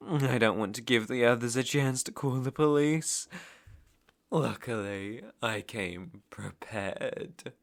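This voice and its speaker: A British man playing an obsessive, menacing ex-lover in an ASMR roleplay, speaking with deliberate mock tenderness and possessive mockery. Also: medium pitch. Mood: coldly controlling. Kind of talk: ASMR roleplay audio drama, intimate second-person acting.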